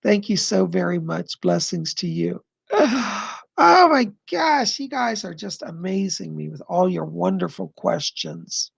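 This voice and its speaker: A man hosting a live stream of psychic readings, answering viewer questions in an effusive, chatty way and pausing to listen to spirits. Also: sits high, animated, gravelly, slightly rough, dry recording. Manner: pauses to listen to spirits mid-sentence.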